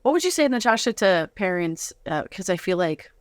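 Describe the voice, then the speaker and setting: Very animated woman hosting a casual interview. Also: lowish voice, a little fast, no echo, studio quality. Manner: encouraging, often affirming with short interjections. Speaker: interview host.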